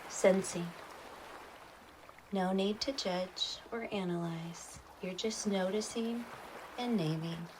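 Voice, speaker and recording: Female meditation guide, lowish voice, unhurried and soothing, short instructional phrases, clear ambient noise behind.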